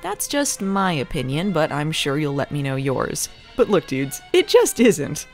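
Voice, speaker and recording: A woman's voice: low-pitched, sing-song, a little slow, soft background music. A woman hosting a comedy review, narrating casually in a sarcastic, irreverent way.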